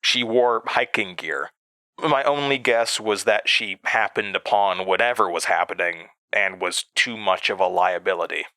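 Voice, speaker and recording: A man playing a haunted narrator in a horror audio drama, recalling his past gravely with dramatic pauses. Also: fairly low pitch, sharp tone, no room sound. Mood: grave recollection. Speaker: narrator and protagonist.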